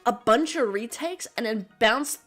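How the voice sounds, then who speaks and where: A woman's voice: on the high side, expressive, unhurried, fairly bright tone, faint music behind. An Australian woman with a casual Australian accent, chatting on a livestream as a VTuber. She talks loosely, laughs often and swears freely. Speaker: VTuber streamer.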